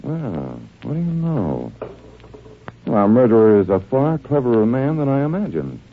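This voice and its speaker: An actor playing a genial, shrewd detective doctor in 1940s radio drama style, with a folksy, musing delivery. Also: male voice, mid-range voice, sing-song, moderate pace, slight background noise. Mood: puzzled, deliberate. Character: genial, shrewd male detective doctor investigating a desert murder. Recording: muffled, narrow-band vintage radio recording.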